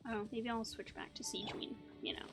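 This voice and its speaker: A woman's voice: a little high, level tone, brisk, over music. A woman hosting a gaming video with casual, playful commentary, musing aloud to herself. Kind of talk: casual let's play commentary.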